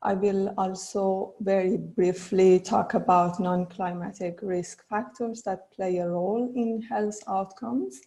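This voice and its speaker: A woman with a cold and a hoarse voice, politely presenting as a panelist on a video call. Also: medium pitch, steady tone, dark tone, poor audio. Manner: polite, slightly halting. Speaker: panelist, climate adaptation expert.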